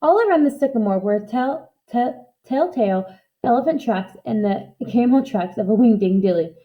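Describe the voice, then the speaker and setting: High-pitched woman reading a storybook aloud. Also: lively intonation, moderate pace, phone-quality sound. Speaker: storybook reader. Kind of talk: expressive read-aloud narration.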